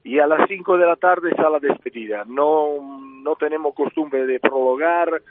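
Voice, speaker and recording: A man's voice: fairly high pitch, normal speed, dry recording. A man with an Italian accent, interviewed on the radio about his circus, often repeating himself and restarting phrases. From Spain. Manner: repetitive, restarts often, promotional. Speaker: circus representative. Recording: tinny phone line.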